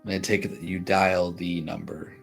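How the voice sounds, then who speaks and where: Low-voiced man running a tabletop game. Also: level tone, normal speed, creaky. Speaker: game master. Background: faint music.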